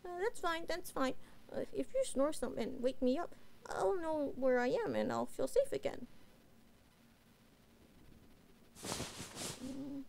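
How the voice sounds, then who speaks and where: A woman's voice: high-pitched, expressive, moderate pace, gravelly, a little mellow, slight background noise. A woman playing a timid, clingy young catgirl who stammers and whimpers as she pleads with her master in a bedtime roleplay audio. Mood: flustered, sleepy. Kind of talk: roleplay audio drama, bedtime sleep aid. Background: rain and thunder ambience.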